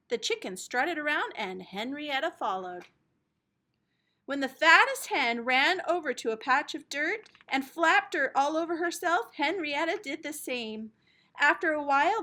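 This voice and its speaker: A woman teacher reading a children's storybook aloud in an expressive, dramatic storytelling manner. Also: high-pitched.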